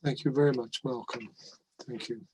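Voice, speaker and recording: A man chairing a webinar over a video call, thanking speakers and introducing the next one with polite, gently humorous remarks. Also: medium pitch, fairly even intonation, normal speed, a little hiss or hum, low-quality recording. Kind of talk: chairing a panel.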